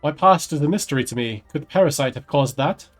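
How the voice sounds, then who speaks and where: A man's voice: on the high side, expressive, fast, soft background music. A man voicing a curious male adventurer, reading video game dialogue aloud in a conversational, questioning way. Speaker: gamer voicing his player character.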